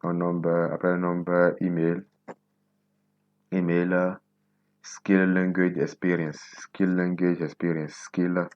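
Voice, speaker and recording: A man in his twenties from Benin, whose first language is Nago, hosting a coding tutorial and thinking aloud slowly as he types, repeating words to himself. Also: low-pitched, little pitch movement, darkish tone, no echo, muffled recording. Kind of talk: casual screen-recorded coding tutorial.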